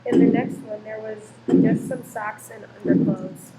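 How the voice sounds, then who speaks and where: A woman's voice: medium pitch, level tone, measured pace. A woman reading dramatic scripted narration for a radio play. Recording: echoey room, faint noise.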